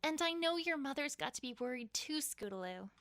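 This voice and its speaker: A woman's voice: sits high, expressive, brisk, fairly bright tone, no room sound. A woman voicing an earnest, courteous, weary young unicorn mare, sincere and polite in a cartoon-style audio drama. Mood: grateful concern.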